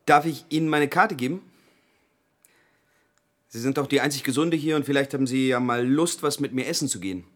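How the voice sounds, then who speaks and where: A man's voice: mid-range voice, fairly quick, long pauses, close to an everyday voice. An actor in a radio drama playing a pushy, self-absorbed forty-year-old man stuck in a waiting room. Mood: flirtatious, smug.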